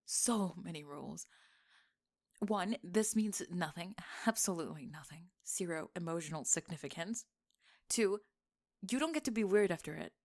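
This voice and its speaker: A woman's voice: fairly low pitch, lively intonation, a little mellow. Flustered young tomboy played by a woman. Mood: bossy, nervous. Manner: teasing, defensive, stammering, halting. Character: flustered tomboy best friend, young woman, bossy, secretly smitten. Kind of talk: intimate ASMR roleplay acting.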